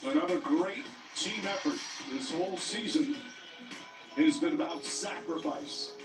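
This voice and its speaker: A man calling a live basketball game as play-by-play commentator, jumping up in pitch on big plays. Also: unhurried. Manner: animated, with sudden jumps up in pitch on big plays. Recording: broadcast audio played in an echoey room, mic far off, music over it. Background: music playing loud.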